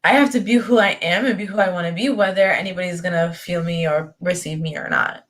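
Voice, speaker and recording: A woman's voice: fairly low pitch, fast, a bit bright. A young woman talking about her own life in a thoughtful, rambling way, full of fillers and restarts. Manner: thoughtful, rambling, heavy on fillers like "like" and "you know". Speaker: spiritual coaching guest or host. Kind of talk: reflective personal talk.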